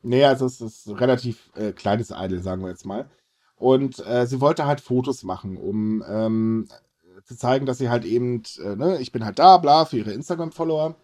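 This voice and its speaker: A man's voice: medium pitch, moderate pace, a little mellow. A man hosting a podcast, explaining news items casually in a hesitant, wordy way. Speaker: podcast host. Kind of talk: casual news chat with co-hosts.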